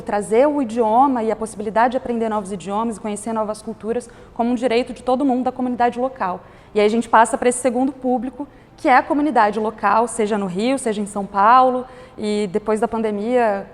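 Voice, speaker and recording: A woman, explaining her organization's work as a guest on a panel talk. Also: on the high side. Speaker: guest, director of a cultural organization. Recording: hall reverb.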